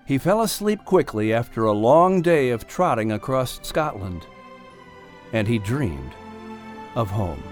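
A man reading a children's adventure audiobook as narrator, in an expressive scripted storytelling style. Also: mid-range voice, unhurried, soft background music.

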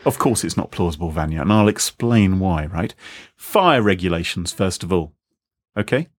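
A man's voice: low-pitched, lively intonation, moderate pace, no echo. Man hosting a chatty podcast, bouncy intonation, dry close mic, wry and rambling. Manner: jokey, rambling, self-mocking. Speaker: podcast host. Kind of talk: casual podcast chat.

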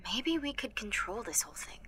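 An actress playing an anxious, self-deprecating teenage girl with superpowers in an audio drama, speaking in a halting, confiding way. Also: medium pitch, very animated, pushed and bright, slight background noise. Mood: tender, confiding. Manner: confiding, halting, with nervous laughs and sighs. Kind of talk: first-person audio drama narration and dialogue.

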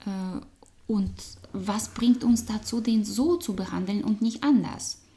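Russian-accented woman, coach on a podcast, soft and thoughtful, warm mellow tone. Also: mid-range voice, a little slow. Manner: thoughtful, soft-spoken, drops to near-whispers. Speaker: business trainer, change consultant and coach. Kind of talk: guest in a conversational podcast.

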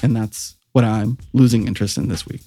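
A man's voice: fairly low pitch, fairly even intonation, brisk, dark tone, no room sound, soft background music. A man co-hosting a casual podcast, laughing often and swearing freely, turning earnest when serious. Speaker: podcast co-host. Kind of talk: casual chat.

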